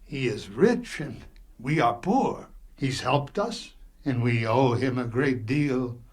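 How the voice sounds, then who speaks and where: An actor playing a poor, earnest father in a radio play, speaking in a formal, pleading, deliberate manner. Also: mid-range voice, animated, slightly rough.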